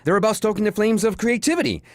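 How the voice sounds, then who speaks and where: An actor playing an abrasive, amorous office lothario in an audio sitcom, with a florid, pompous delivery. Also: male voice, high-pitched, very animated, quick pace, no room sound. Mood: grandly cheerful. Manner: florid, pompous, over-romantic.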